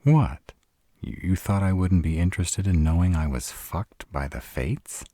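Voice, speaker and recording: Sardonic male vampire , played by a man for roleplay audio: husky, slow-paced, dramatic and grumpy, recorded close and dry. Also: sits low, lively intonation, dark tone, dry recording. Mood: indignant, alarmed. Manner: sarcastic, grumbling, dramatic. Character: sarcastic irritable male vampire, stranded in a human's home.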